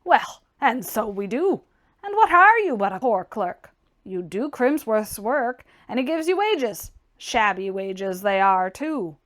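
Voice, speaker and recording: Woman voicing a blunt, loud, scornful gentleman. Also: fairly high pitch, very animated, slow pace, heavily acted. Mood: brusque, mocking. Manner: brusque, mocking, emphatic exclamations. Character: blunt, opinionated, scornful young tradesman gentleman. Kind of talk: dramatized audiobook character reading.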